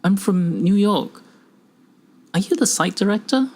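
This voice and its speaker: A man's voice: high-pitched, animated, a little slow, husky, pitched above the performer's own voice, full character voice. A man voicing a jittery, indignant junior researcher who talks in fretful, stammering questions. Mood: uneasy.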